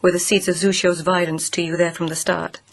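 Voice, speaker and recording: Shrewd court lady, radio play, low voice, breathy, probing, coolly ironic. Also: little pitch movement, brisk. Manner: probing, quietly ironic questioning. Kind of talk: radio drama acting.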